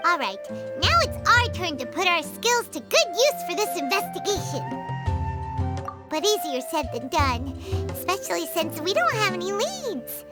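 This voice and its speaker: A tiny, excitable fairy sidekick from a video game. The voice is squeaky and extremely high, with animated swings in pitch and emphatic, childlike delivery. Prominent music plays behind it. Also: female voice, normal speed. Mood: pondering. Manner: refers to herself by name, emphatic exclamations. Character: small excitable childlike female fairy companion, cheerful and indignant. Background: background music.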